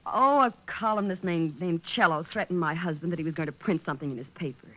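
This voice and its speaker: A woman's voice: on the low side, fast, lo-fi sound, lightly acted. A woman playing a defensive, melodramatic married suspect in an old-time radio detective drama. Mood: explaining. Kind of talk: 1940s-50s radio detective acting.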